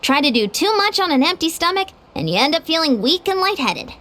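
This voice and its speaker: A woman's voice: extremely high pitch, sing-song, brisk, noisy background. A woman voicing a young woman casually explaining why training needs more food.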